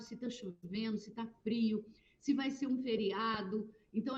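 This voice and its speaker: A woman with a rather high, mellow voice talks through her points as an interview guest, pausing and restarting as she thinks. The audio is dull and lo-fi, like a remote connection. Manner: reflective, explaining with pauses and restarts. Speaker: radio programmer, guest. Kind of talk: conversational interview.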